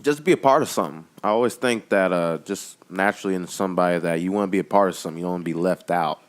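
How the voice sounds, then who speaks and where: Teen guy from haiti, lowish voice, laid back casual talk. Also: rapid-fire. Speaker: guest, younger brother of the host. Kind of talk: casual chat. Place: family kitchen.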